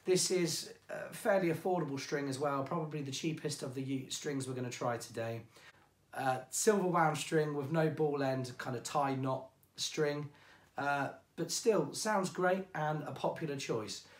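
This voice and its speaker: An English man presenting ukulele strings in a chatty, informal way, his voice going hoarse. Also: fairly high pitch, unhurried, echoey room. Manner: chatty, informal, self-deprecating. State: losing his voice. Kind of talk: product demonstration.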